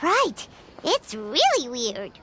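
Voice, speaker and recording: A woman voicing a tiny, perky, boastful female companion in a video game, with a squeaky childlike voice. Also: huge pitch swings, slow, heavily acted. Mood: puzzled. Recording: loud game music under the voice.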